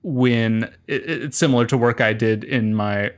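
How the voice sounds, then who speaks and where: A man's voice: medium pitch, moderate pace, clear, resonant tone. A middle-aged man from California with an American accent, talking casually as an interview guest in a hesitant, wry way. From California, United States. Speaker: interview guest, musician and performer.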